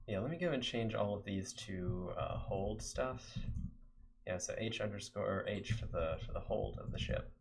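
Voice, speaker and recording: A man's voice: on the low side, lively intonation, normal speed, gravelly. A young American man from Texas chatting casually to his viewers while coding on a live stream, rambling with lots of fillers. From Texas, USA. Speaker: game developer streamer.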